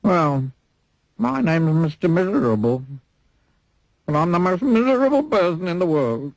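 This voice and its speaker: A man's voice: high-pitched, sing-song, unhurried, dry recording, pitched above the performer's own voice, heavily acted, rough recording. A man voicing a gloomy, sniffling little male storybook character who whines with self-pity.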